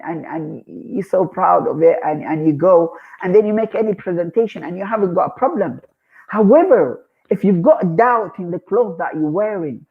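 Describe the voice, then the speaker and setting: A man giving an earnest, exhorting community talk as a guest speaker, repeating phrases as he presses his point. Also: high-pitched. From Eritrea. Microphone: video call. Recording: tinny phone-like online call audio.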